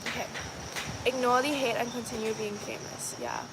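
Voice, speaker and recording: A woman's voice: a little high, sing-song, normal speed. A young woman with a Trinidadian accent, chatting casually to her live viewers with lots of fillers and restarts. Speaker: vlogger. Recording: loud background noise.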